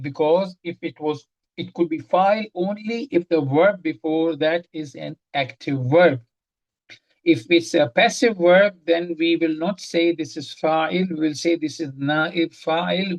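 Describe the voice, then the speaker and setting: A woman's voice: deep voice, low-quality recording. A woman teaching an online Arabic grammar lesson, explaining each point step by step, repeating key terms and quizzing her students. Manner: quizzes the class directly.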